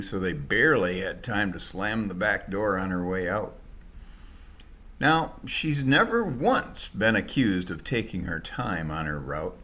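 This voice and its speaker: A man's voice: fairly low pitch, fairly bright tone. A man narrating a scripted short story as its author, in a colloquial, dramatized storytelling style.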